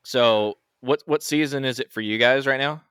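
A man in his thirties from eastern Washington chatting casually, halting and restarting often. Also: medium pitch, a bit bright, clear, resonant tone, no echo, clean studio sound. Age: 30s. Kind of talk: casual chat.

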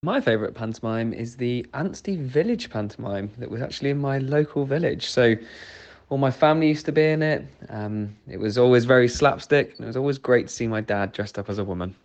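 A man's voice: mid-range voice, a little fast, a little mellow. A British man, a guest contributor, casually recalling memories on a lo-fi recording. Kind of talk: casual recollection. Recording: dull lo-fi recording.

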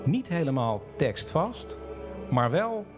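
A man's voice: on the high side, sing-song, slow pace, over music. A man, talking casually and telling a personal story as host and narrator. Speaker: host and narrator, interviewing his father.

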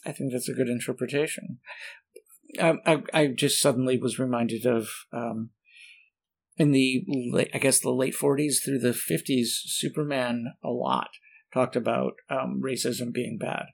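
A man with a gravelly, creaky voice at an ordinary pitch, talking as the guest scholar in an interview. He hesitates often, filling gaps and restarting sentences as he works through his thoughts. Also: steady tone, a little slow. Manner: hesitant, many ums and restarts, thinking aloud. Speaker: guest professor. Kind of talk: conversational interview.

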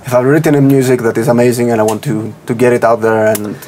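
A man hosting a podcast, reading a scripted welcome and then asking questions in a halting way with uh fillers. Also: mid-range voice, little pitch movement, darkish tone, a little hiss or hum. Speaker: podcast host.

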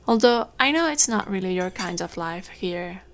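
A woman's voice: mid-range voice, expressive, moderate pace, fairly bright tone. An actress playing a kindly, middle-aged, motherly farm woman in a naturalistic audio drama. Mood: fond.

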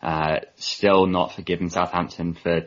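Male podcast guest, low-pitched voice, casual interview chat, dull recording. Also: level tone, measured pace. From Manchester, England.

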